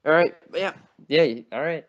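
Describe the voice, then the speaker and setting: A man's voice: on the high side, moderate pace, highs cut off. A young man co-hosting a casual podcast chat, insistent and argumentative, who keeps telling his friend to listen. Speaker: podcast co-host. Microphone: remote call mic.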